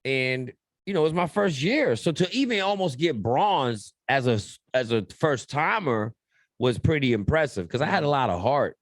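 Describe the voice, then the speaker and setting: A male podcast host talks loosely in slang and tells stories with a lot of life in them. His voice sits at a middle pitch. The sound is dry and close to the mic. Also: normal speed, no echo. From born in New York, raised in Pittsburgh. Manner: animated storytelling, slangy, acts out quotes loudly. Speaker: podcast host, radio and TV personality. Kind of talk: casual podcast chat.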